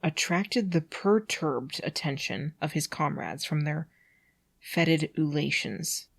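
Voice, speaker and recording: Gravelly woman reading with sarcastic asides. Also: sits low, expressive. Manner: dry sarcastic asides between passages; careful, deliberate reading of awkward prose. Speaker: reader and host. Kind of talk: reading a text aloud with commentary.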